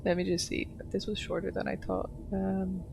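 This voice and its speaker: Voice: medium pitch, completely flat delivery, moderate pace, vocal fry, noisy background. A game streamer giving casual, off-the-cuff live commentary.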